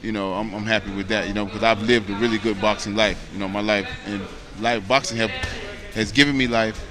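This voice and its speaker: A man's voice: fairly low pitch, steady tone, a little fast. An American man in his late thirties, a boxer chatting casually as an interview guest, earnest and rambling, often saying "you know.". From United States.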